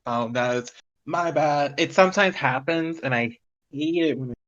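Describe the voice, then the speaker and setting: A man's voice: fairly high pitch, normal speed, a bit bright. A man chatting casually as a podcast host over a computer call. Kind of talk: casual chat. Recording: dull lo-fi computer call audio.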